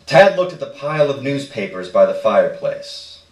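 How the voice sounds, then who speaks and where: A man's voice: mid-range voice, big pitch swings, normal speed. A man reading a short story aloud as narration at a live stage reading. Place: indoor stage reading in a roomy space. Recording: echoey room, distant microphone.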